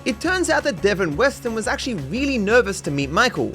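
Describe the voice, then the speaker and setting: High-pitched man, dryly sarcastic. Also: big pitch swings, a little fast. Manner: dry, mock-serious sarcasm. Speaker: video game commentator and host. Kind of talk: scripted comedic narration over game footage. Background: music under the voice.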